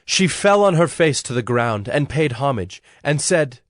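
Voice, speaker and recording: A man narrating scripture from a script in a measured, solemn storytelling manner. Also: medium pitch, animated, no echo, clean studio sound.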